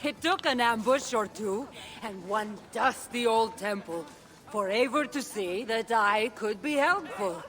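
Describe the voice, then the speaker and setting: A woman playing a worldly, playful warrior heroine in a video game cutscene, with a measured delivery. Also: fairly high pitch, animated, a bit bright, faint music behind. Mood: playful, celebratory. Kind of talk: video game cutscene acting, partly rhymed toast.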